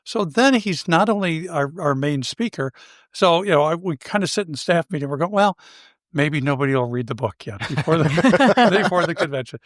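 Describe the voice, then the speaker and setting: An older man tells a story with a fairly high-pitched voice. His delivery is anecdotal and self-deprecating, with the odd chuckle. The sound is dry and close, with no room echo. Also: animated, moderate pace. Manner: anecdotal, self-deprecating, laughs while telling stories. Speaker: podcast co-host. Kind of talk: conversational storytelling.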